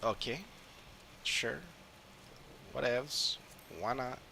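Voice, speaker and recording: A man's voice: mid-range voice, fairly even intonation, very slow, vocal fry, bright, forceful tone, a little hiss or hum. A man streaming a video game, muttering casually and thinking aloud as he plays. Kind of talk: casual play-along commentary.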